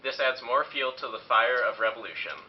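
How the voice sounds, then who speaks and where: A man's voice: mid-range voice, a little fast. A man reading scripted history narration as the narrator of an amateur show. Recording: echoey, muffled, noisy, from far off.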